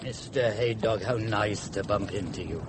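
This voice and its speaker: An actor playing a polite, patronising town doctor in a drama, insistent in manner. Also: male voice, on the low side, normal speed, background noise, close to an everyday voice. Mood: placating, insistent. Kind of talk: dramatic dialogue.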